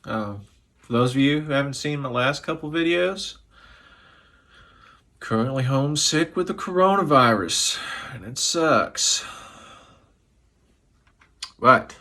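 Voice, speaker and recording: A young man who is sick and slightly hoarse, talking loosely and profanely to camera from his living room after a few drinks. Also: mid-range voice, lively intonation, slow pace, pauses often, bright, forceful tone. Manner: profane, loose and chatty, a bit tipsy. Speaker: music vlogger. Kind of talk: casual rambling talk to camera.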